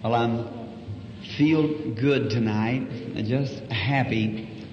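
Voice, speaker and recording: A soft-spoken, humble man preaching in a church with a slightly hoarse voice and folksy asides. The old recording rings with long hall reverb and sounds dull and lo-fi. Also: mid-range voice, drawn-out words, slight background noise. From United States. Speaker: evangelist preacher. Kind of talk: sermon. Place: church auditorium.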